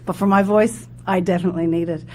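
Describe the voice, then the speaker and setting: Low-voiced woman speaker, halting, over hum. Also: fairly even intonation. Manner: hesitant, many fillers and restarts. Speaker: executive director. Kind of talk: conference presentation. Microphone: handheld mic. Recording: loud background hum.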